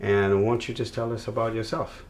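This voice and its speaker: Low-voiced male TV interview host. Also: little pitch movement, normal speed, a little hiss or hum. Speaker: television program host. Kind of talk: TV interview hosting and promo announcements.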